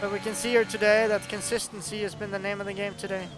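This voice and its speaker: A man from Norway with a Norwegian accent, not a native English speaker, giving chatty, excitable commentary on a sim race. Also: sits high, fast talker. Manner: chatty, excitable, laughs often.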